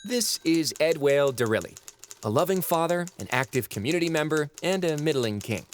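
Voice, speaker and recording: Man acting a lively, droll narrator. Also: on the high side, fairly quick, a little hiss or hum, lightly acted. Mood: droll, knowing. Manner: droll, arch, knowing. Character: droll, literate storybook narrator introducing characters. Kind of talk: scripted audio drama narration.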